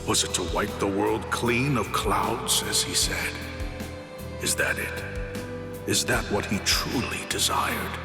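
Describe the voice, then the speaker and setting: An actor playing an honorable, loyal warrior captain in an anime game, speaking in a grave, formal, earnest and sorrowful way. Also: male voice, lowish voice, expressive, slow pace, over music. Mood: mournful, reflective. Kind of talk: scripted anime game cutscene dialogue.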